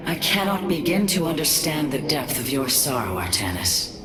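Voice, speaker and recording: A woman's voice: deep voice, big pitch swings, moderate pace, music in the background. A woman playing a grave, dignified and compassionate alien leader in a sci-fi game, speaking formally. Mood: sorrowful. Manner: formal, solemn. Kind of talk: dramatic sci-fi game voice acting. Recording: echoey, with loud background noise.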